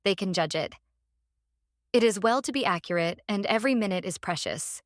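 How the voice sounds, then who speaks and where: An earnest, devoted young wife voiced by a woman reads from her diary in an audiobook drama. She speaks carefully and deliberately, as if reasoning things out, in a dry, intimate recording at a middling pitch. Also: animated, no echo, natural voice. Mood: resolute.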